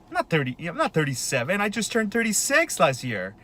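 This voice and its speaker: A man's voice: sits high, huge pitch swings, fairly quick, pushed and bright. A man in his late thirties talking in a casual, rambling monologue, with lots of fillers and restarts. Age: 30s. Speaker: vlogger.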